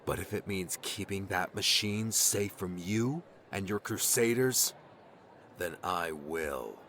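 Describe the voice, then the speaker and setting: A man's voice: sits low, slow pace, fairly bright tone, slight background noise. An actor playing a young, hardened man who defiantly guards his home, terse and intense, in an audiobook drama. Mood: grim, resolute.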